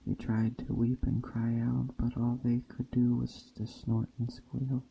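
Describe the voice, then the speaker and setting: A man reading a story aloud in a soft ASMR style, slowly and deliberately, in a hushed bedtime storytelling manner. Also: mid-range voice, completely flat delivery, vocal fry, a little hiss or hum. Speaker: storyteller, narrator. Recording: dull muffled sound, faint background ambience. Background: soft nature ambience.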